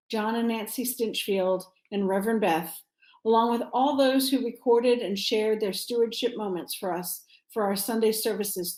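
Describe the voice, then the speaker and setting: A woman's voice: mid-range voice, darkish tone, roomy sound, poor audio. A woman delivering a prepared announcement to a congregation as a church campaign speaker.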